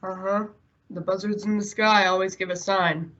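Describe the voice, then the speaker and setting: A woman's voice: mid-range voice, steady tone, moderate pace, fairly bright tone, muffled recording, lightly acted. A woman playing a loyal, practical male sidekick in a radio western, terse and matter-of-fact. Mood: calm, helpful. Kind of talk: old-time radio western acting.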